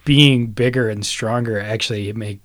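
A man's voice: on the low side, fairly even intonation, normal speed, fairly bright tone, no room sound. A man chatting casually, wry and joking, who laughs at his own lines. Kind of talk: casual chat.

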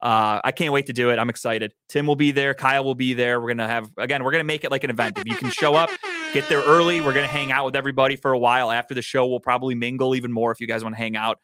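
A man with an American accent hosts a casual sports talk show. His delivery is blunt and sweary, at a medium pitch, in a dry recording with very little room sound. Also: fairly even intonation, very fast, pushed and bright, dry recording. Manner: blunt, profane. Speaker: show host.

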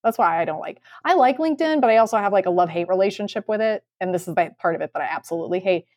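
A woman's voice: mid-range voice, animated, very fast, darkish tone, no echo, studio quality. A woman co-hosting a podcast, giving her opinion in a casual, candid, emphatic way. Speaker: podcast co-host.

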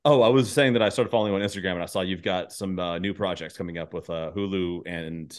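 A man's voice: low voice, steady tone, fast talker. A man hosting an interview podcast, moving between scripted introductions and casual, self-deprecating chat. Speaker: podcast host interviewing guests.

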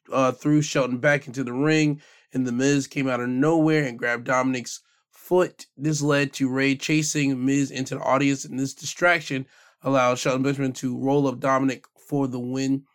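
A man hosting a podcast, giving a casual, rambling recap of the week's wrestling with a hoarse voice. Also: medium pitch, steady tone, normal speed. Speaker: podcast host.

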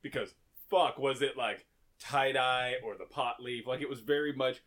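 A man with a loud, joking voice riffs and rambles through a casual podcast chat. His voice sits in the middle range, and the room around him rings with echo. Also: medium pitch, steady tone, normal speed. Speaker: podcast co-host. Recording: echoey room.

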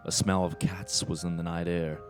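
Brooding radio drama narrator, male actor, fairly low voice, scripted and ominous, with music underneath. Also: level tone, moderate pace, lightly acted. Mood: measured. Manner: brooding, fragmentary phrasing.

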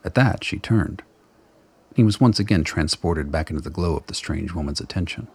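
A man narrates a scripted radio drama in an extremely deep voice. It is gravelly and husky, with measured, dramatic storytelling. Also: lively intonation, darkish tone.

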